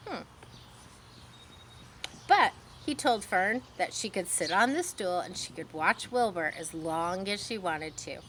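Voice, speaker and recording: A woman reading a children's story aloud, pausing to explain things simply as if to young listeners. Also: on the low side, animated, a bit bright, slight background noise. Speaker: storybook reader.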